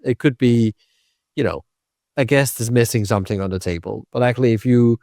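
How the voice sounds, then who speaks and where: Animated man hosting. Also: mid-range voice, fairly quick, no room sound, clean studio sound. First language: Danish. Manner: animated, emphatic, checks in with tag questions. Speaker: podcast host. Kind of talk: conversational interview.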